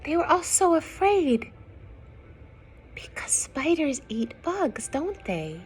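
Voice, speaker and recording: Slow-paced woman reading to young children. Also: sits high. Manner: expressive, gentle, sing-song reading, often dropping to hushed asides. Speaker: storyteller reading a picture book to young children. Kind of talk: children's read-aloud with asides to listeners. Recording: some background noise.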